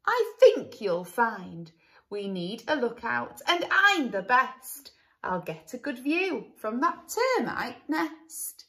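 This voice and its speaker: A woman voicing a boastful little boy dinosaur who plays pranks, with shrill cries. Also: very animated, slow, pushed and bright, exaggerated voice.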